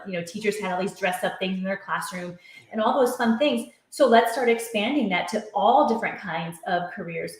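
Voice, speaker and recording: A middle-aged woman talks with earnest conviction, spinning long run-on sentences as she explains her views. Her voice sits at an ordinary pitch for a woman. The room around her is noticeably echoey. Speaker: author and education coach. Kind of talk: podcast interview.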